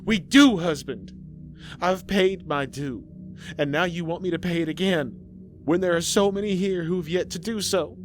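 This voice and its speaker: A man's voice: sits high, normal speed, faint music behind, voice pushed up, natural voice. A man voicing a desperate, defiant farm mother in a horror story, emphatic and accusing. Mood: bitter accusing.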